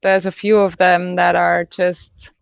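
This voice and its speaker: A woman's voice: lowish voice, level tone, unhurried, vocal fry, clear, resonant tone, dry recording, dull audio. A woman speaking English as a second language, chatting as an interview guest, often searching for words and laughing. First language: not English. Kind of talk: casual interview chat.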